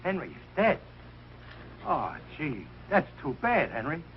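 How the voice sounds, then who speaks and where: A man's voice: a little high, sing-song, slow pace. An actor playing a bumbling, good-hearted, hard-up American family man in a 1940s TV sitcom, talking in a plaintive, colloquial, blustery way. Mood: hopeful, flustered. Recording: muffled, noisy 1940s television soundtrack.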